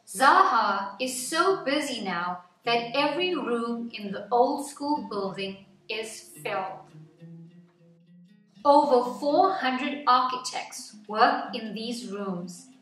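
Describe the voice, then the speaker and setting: A woman reading a children's picture book aloud as scripted narration, with measured, deliberate phrasing. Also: a little high, a bit bright. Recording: roomy echo, distant mic, background music. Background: music.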